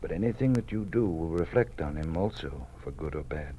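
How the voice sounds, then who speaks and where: Old dean: deep, weary, guarded, fatherly and formal; vintage radio drama, slightly noisy recording. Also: lively intonation, brisk, mellow. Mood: cautioning, confiding. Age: older. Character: weary, cautious old male college dean living in fear.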